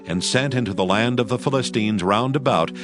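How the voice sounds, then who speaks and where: A man narrating scripture as a scripted audio drama, with solemn, measured storytelling. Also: mid-range voice. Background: music bed.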